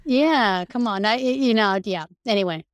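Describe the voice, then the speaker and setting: A woman's voice: on the high side, unhurried, no room sound. A woman from Indonesia whose first language is Indonesian, chatting casually as a podcast guest over a call. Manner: chatty, laughs along, switches languages. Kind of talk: casual chat.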